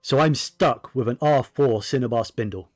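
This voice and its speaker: A man's voice: medium pitch, moderate pace, no echo, soft background music. A man giving casual, rambling gaming commentary, often correcting himself as he goes. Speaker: game streamer.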